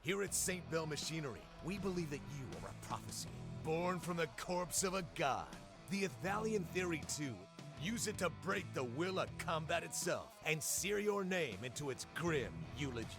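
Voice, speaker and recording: An actor playing a bombastic weapons advertising announcer, delivering grandiose, solemn scripted narration. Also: male voice, a little high, expressive, moderate pace. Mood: grandiose, menacing. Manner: solemn, portentous. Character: bombastic male war-machinery advertising announcer. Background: prominent music with guitar.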